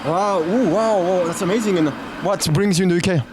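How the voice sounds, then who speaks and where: A French man hosting a casual street interview outdoors, upbeat and quick, often answering with "awesome" and "wow". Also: high voice, background noise. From France. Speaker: street interviewer, channel host.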